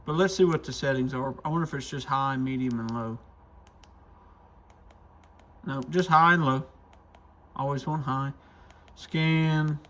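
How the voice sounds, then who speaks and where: A man's voice: mid-range voice, steady tone, a little slow, long pauses, a bit bright. A man hosting a casual hands-on product demo, thinking aloud informally as he tinkers. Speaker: radio shop reviewer and video host.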